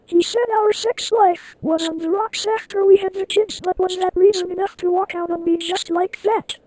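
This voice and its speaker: Shrill, very high ogre wife, played by a man. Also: fairly even intonation, moderate pace, darkish tone, rough recording. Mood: tearful outrage. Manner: overwrought, exclaiming. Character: shrill, foul-mouthed, dramatic ogre wife and mother.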